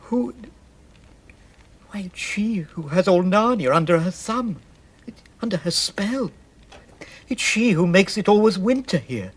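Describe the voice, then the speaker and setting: A man playing a gentle, timid faun in a radio theatre storybook drama, fretful and self-reproachful, with halting, theatrical delivery. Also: high voice, expressive, slightly rough, exaggerated voice. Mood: dread.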